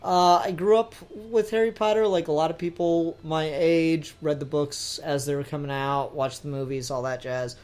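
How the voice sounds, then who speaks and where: A man's voice: on the high side, fairly even intonation, normal speed, few pauses, a little mellow. A young adult man hosting a podcast in casual chat, with teasing, sweary banter. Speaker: podcast host.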